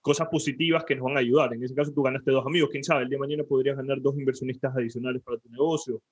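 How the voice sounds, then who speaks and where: A man's voice: mid-range voice, fairly even intonation, rapid-fire, breathy edge, no echo. A man co-hosting a podcast, asking questions in a hesitant way with many fillers. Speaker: podcast co-host. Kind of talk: casual interview conversation. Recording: dull muffled sound, dry.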